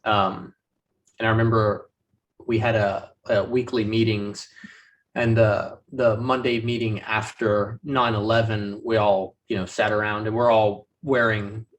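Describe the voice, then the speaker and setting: A man in his thirties, a veteran guest, speaking casually and haltingly with many ums and restarts. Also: lowish voice, flat delivery, highs cut off. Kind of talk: casual podcast conversation. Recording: voice distant from the mic.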